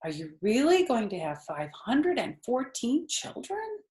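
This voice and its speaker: A woman's voice: on the high side, wildly swooping pitch, unhurried, a little mellow, echoey room, voice pushed up. A woman voicing an innocent, eager young male pig in a children's storybook, full of naive questions. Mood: eager, concerned. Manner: wide-eyed, questioning, naive.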